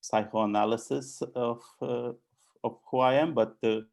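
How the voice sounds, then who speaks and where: A man speaks slowly and unhurriedly, his low voice crackling with gravel. He stays in a flat, narrow tone, pausing often and restarting his sentences. It comes through a video call. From Romania. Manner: halting, many fillers and restarts. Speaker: architect and author. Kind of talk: hesitant conversational answers.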